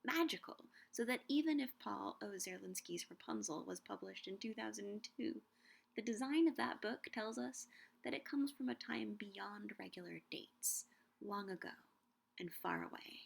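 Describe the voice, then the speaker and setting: A young woman giving a scripted, measured talk on Shakespeare and books, slowing down to savor quoted lines. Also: medium pitch, gravelly. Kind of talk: scripted educational narration.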